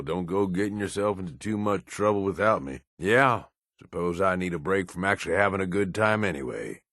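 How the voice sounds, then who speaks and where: An actor playing a roguish, cynical but loyal raider in a video game, with a folksy drawl. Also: male voice, low-pitched, a bit bright, no echo, clean studio sound, exaggerated voice. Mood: wry, cocky. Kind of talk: video game companion dialogue.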